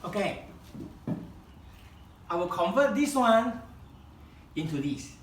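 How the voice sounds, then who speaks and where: Slow, halting man with a Chinese accent. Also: high voice, big pitch swings, roomy sound, a little hiss or hum. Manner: halting, searching for words, many restarts. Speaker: kokedama workshop founder demonstrating. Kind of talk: live hands-on craft demonstration. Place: room at a home demonstration event.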